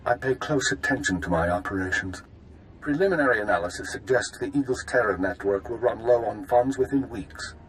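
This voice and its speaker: A man's voice: fairly low pitch, fairly quick, lightly acted, rough recording. A man voicing a curt, authoritative intelligence chief in a video game, clipped and businesslike. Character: curt, authoritative spymaster man commanding agents.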